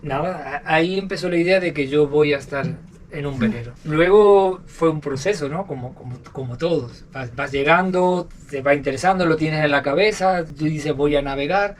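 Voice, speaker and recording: Argentine guy telling sailing stories on his boat, kinda halting, repeats himself, sits a bit far from the mic. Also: on the high side, a little hiss or hum. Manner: halting, repeats words, trails off quietly. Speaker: podcast guest, sailor. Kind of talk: casual storytelling interview. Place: aboard a sailboat.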